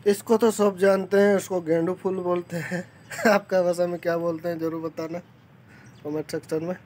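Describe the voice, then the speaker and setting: A man's voice: high voice, little pitch movement, normal speed, over music. A young man vlogging on a phone held at arm's length, walking through an outdoor garden and vegetable plot and chatting eagerly to viewers. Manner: chatty, enthusiastic, addresses viewers directly. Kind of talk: casual vlog walkthrough.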